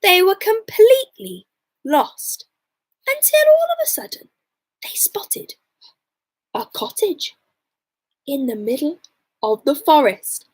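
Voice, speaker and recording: A woman tells a children's bedtime story. Her high voice is very animated, moving slowly with drawn-out words and hushed dramatic moments. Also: rough recording. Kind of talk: expressive children's story narration.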